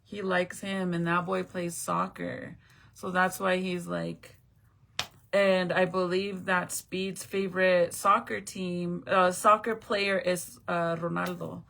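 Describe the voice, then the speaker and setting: A woman's voice: lowish voice, flat delivery, takes it slowly, vocal fry, fairly bright tone. A woman whose first language is Spanish, chatting casually with her viewers on a live stream, telling stories and swearing freely.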